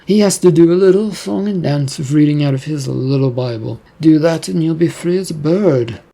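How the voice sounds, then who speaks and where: Sly possessing demon, slow taunting delivery, husky low tone, read by a man. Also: mellow. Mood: coaxing sly. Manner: taunting, coaxing, drawn-out.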